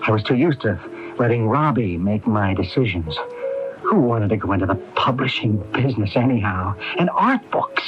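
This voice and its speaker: A man's voice: on the low side, animated, normal speed, natural voice. An actor playing a resentful, weak-willed businessman in a radio mystery drama, agitated, emotional and hesitant. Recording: dull 1980s AM radio recording, music behind.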